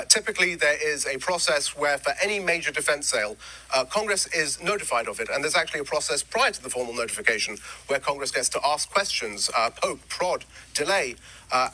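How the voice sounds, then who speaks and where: A man answering questions in a news interview, explaining policy in measured detail with frequent "uh" fillers. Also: a little high, animated, pushed and bright, low-quality recording. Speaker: interview guest, former government official.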